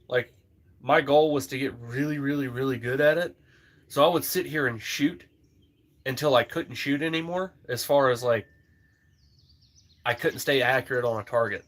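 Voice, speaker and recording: A man's voice: mid-range voice, a bit bright. Thirtysomething guy rambling on his outdoor stream, lots of likes and ums, easygoing. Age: 30s. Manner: rambling, easygoing, filler-heavy. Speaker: livestream host. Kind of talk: casual live-stream chat while target shooting. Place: outdoors at a shooting spot.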